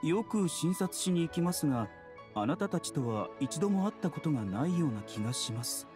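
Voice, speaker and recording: Polite gentle doctor, composed, melodic, slightly high, faint music. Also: male voice, very animated, moderate pace. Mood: calm. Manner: soft-spoken polite formal phrasing. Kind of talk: Japanese anime game voice acting.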